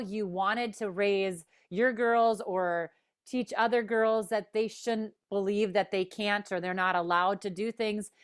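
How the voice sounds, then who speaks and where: An enthusiastic woman with a Minnesota accent, her voice sitting a little high. She leads a panel conversation over a video call, cheering on her guests as she introduces them. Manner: upbeat, enthusiastic, quick restarts. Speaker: host. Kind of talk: panel interview hosting.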